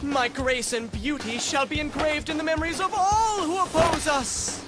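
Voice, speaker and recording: Super high effeminate pretty-boy warrior, vain and theatrical, game cutscene. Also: moderate pace, loud music behind the voice. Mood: preening. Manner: theatrical, preening, airy. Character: vain, flamboyant, effeminate warrior obsessed with beauty. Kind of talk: dramatic video game cutscene acting.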